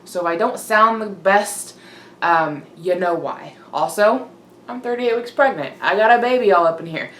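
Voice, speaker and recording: A woman's voice: medium pitch, normal speed, a bit bright. Congested young woman, echoey room. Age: young adult. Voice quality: slightly congested, breathy. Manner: informal, chatty. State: touch of a cold, slightly winded. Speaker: vlogger. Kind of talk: casual chat to camera. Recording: roomy echo, clear background noise.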